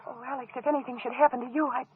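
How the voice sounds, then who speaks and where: A woman's voice: high voice, steady tone, rapid-fire, close to an everyday voice. An actress playing an anxious, doting wife who fusses over her husband, in 1940s radio drama style. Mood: tender worry. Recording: tinny, noisy vintage radio recording.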